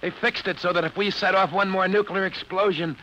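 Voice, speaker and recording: A haunted young rocket pilot speaks urgently in a high, sharp voice. It is vintage radio drama on a noisy old recording. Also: male voice, fairly even intonation, brisk, lo-fi sound. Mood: urgent, pleading. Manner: urgent, intense. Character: desperate, haunted rocket pilot reliving a warning. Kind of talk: 1950s radio drama acting.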